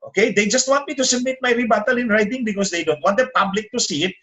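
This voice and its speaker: Filipino man, indignant, rising pitch. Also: quick pace, fairly bright tone. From Philippines. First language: Tagalog. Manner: indignant, rises sharply in pitch when making a point. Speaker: attorney and guest. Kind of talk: interview over a call.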